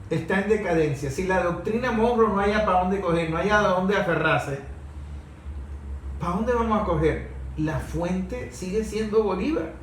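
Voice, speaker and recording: A man hosting an opinionated political conversation, speaking emphatically with repetition and rhetorical questions. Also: on the high side. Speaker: host of a history and politics programme. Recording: roomy, distant microphone, background noise.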